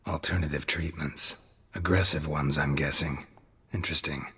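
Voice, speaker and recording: An actor playing a stoic, gruff monster hunter in a video game, speaking tersely and deadpan in a gravelly voice. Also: male voice, normal speed, exaggerated voice. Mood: wry, skeptical. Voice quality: gravelly, husky low voice.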